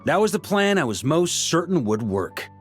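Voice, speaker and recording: An actor playing a relaxed, good-humored grandmaster knight, speaking in an easygoing, wry way. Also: male voice, a little high, normal speed, faint music behind. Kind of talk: game voice acting.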